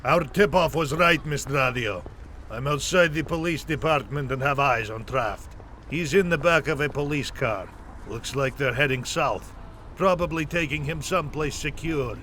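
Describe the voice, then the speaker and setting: A man performs a hard-edged criminal henchman in an audio drama. His voice is sharp, forceful and terse, dutifully reporting, with faint outdoor noise around him. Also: a little high, normal speed. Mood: tense, businesslike.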